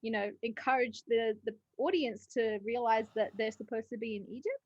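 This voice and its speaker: A woman's voice: fairly high pitch, brisk, darkish tone, dry recording, highs cut off. A woman chatting casually as a podcast panellist. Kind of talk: casual chat.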